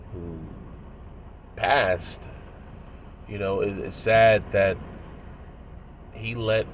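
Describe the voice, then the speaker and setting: A man in his thirties with a cold, sounding nasal and congested, speaking in a measured, moralizing way as he comments on a video. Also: on the low side, a little hiss or hum. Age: 30s. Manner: measured, moralizing, pausing often. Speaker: religious commentator.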